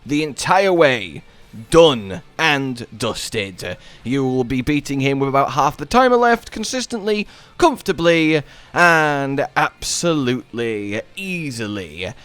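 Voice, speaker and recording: A man narrating a video game guide as the host, in a chatty, casual style with emphatic, drawn-out stresses. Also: a little high, animated, fairly bright tone, clean tone.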